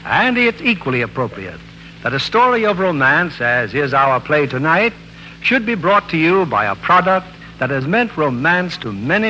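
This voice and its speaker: A man's voice: a little high, bright, forceful tone, a little hiss or hum, rough recording. A man hosting a 1940s radio drama, reading scripted introductions in a formal, polished showman's manner. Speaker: radio drama host and narrator. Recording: old 1940s radio broadcast.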